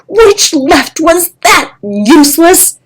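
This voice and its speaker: A woman's voice: very high voice, sing-song, takes it slowly, pitched above the performer's own voice, heavily acted. A woman voicing a fierce older female dragon teacher who snarls, bellows and mocks her pupil in a storybook reading. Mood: bellowing.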